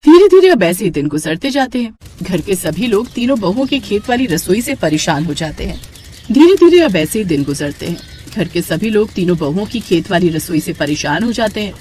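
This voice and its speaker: A woman's voice: mid-range voice, very animated, moderate pace, darkish tone, a little hiss or hum. A woman narrating a scripted moral tale as a storyteller.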